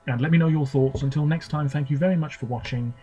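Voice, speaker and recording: A man's voice: a little high, fast, dark tone, muffled recording, slight background noise. A young man hosting his own video, giving short, hesitant first reactions to songs between clips. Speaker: video host reviewing contest songs. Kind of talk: casual off-the-cuff commentary.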